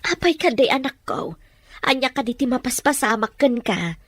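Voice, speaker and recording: A woman playing a gentle, anguished mother in an audio drama, speaking with emotional, pleading delivery. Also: sits high, sing-song, normal speed, dry recording, exaggerated voice. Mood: tender, concerned. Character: gentle, anguished Filipino mother guarding an adoption secret.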